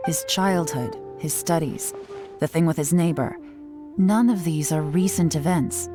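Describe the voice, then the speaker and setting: An actress playing a poised, shrewd young woman investigator in a video game, measured and slightly theatrical, with courteous phrasing. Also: low-pitched, over music. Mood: shrewd, skeptical. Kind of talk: scripted video game voice acting.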